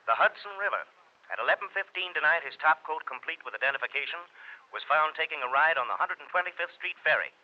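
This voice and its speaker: Lively, needling police lieutenant played by a man. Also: fairly high pitch, fast, close to an everyday voice. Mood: dryly informative. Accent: American. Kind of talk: 1940s radio drama acting. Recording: muffled vintage radio, telephone sound.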